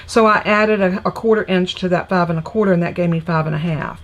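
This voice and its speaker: A woman's voice: lowish voice, fast talker, muffled recording. A woman with a scratchy, congested voice, chattily explaining a papercraft project step by step as she works. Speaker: papercraft demonstrator. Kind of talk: live crafting tutorial.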